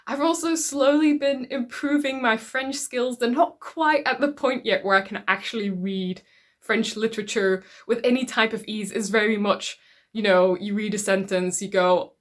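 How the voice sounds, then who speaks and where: A woman from the Netherlands whose native language is Dutch, chatting casually and reflectively to her viewers as a book YouTuber. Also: medium pitch. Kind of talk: casual talk to camera about reading.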